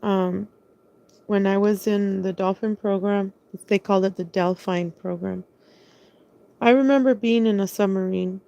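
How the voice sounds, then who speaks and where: A woman's voice: mid-range voice, steady tone, a little slow, creaky, warm tone, muffled recording. A woman telling her own story in a casual, rambling monologue, hesitating often, restarting sentences and spelling out words letter by letter.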